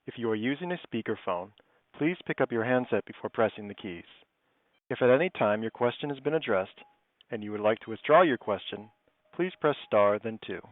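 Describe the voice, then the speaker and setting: A man's voice: lowish voice, lively intonation, fairly quick, dry recording. A man working as a conference call operator, giving scripted instructions in a formal, procedural manner. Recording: dry, phone-like call line.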